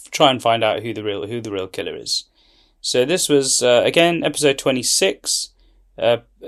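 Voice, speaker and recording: A man hosting a show with a rambling, loosely improvised introduction, halting and often correcting himself. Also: medium pitch. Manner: hesitant, halting, self-correcting. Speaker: channel host.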